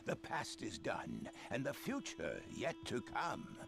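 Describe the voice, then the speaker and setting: An actor playing an old blind beggar-prophet in a video game, speaking in grave, riddling lines. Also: male voice, medium pitch, huge pitch swings, gravelly, music in the background, heavily acted. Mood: cryptic. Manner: slow, grave, riddling speech.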